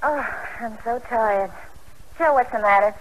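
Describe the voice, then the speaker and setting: Breezy, high-voiced young wife played by an actress. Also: female voice, unhurried, natural voice. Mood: cheerful, soothing. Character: Judy, breezy fearless young wife turned high-wire performer. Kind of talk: old-time radio drama acting. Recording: noisy vintage radio broadcast recording.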